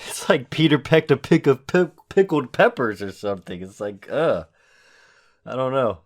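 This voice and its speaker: Animated, flustered man. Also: medium pitch, moderate pace. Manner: flustered, self-mocking. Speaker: amateur voice performer. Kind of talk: casual outtake chatter.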